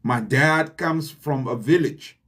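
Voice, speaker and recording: A man with a Liberian accent giving an earnest, deliberate motivational talk to his channel's viewers, repeating his key points for emphasis. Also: mid-range voice, fairly bright tone. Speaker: channel host. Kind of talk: motivational address.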